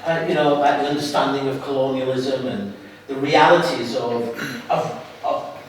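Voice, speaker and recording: A middle-aged man with a Lancashire accent giving an earnest public talk to an audience, restarting his sentences often. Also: mid-range voice. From England, Lancashire. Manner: earnest, halting with frequent restarts. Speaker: guest speaker. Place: hall at an evening event. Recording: echoey hall from far off, faint hiss.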